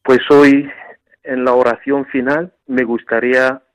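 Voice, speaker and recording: A man's voice: mid-range voice, level tone, measured pace, dry recording, faint music behind. A man from Nigeria with a Nigerian accent, a priest guest leading a prayer in an earnest, reverent way. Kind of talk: spoken prayer. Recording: tinny phone-like line.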